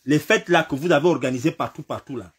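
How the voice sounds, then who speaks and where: A man with a slight cold giving an impassioned political commentary, repeating himself and firing rhetorical questions, now and then rising to a shout. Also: a little high, fast.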